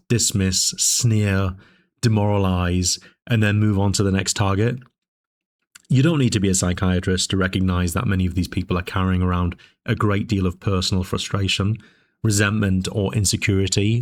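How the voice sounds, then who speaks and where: Lower-voiced man hosting a commentary channel. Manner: measured, discursive, with frequent fillers and restarts. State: dry voice, sipping tea. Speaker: political commentary channel host. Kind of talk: casual spoken commentary.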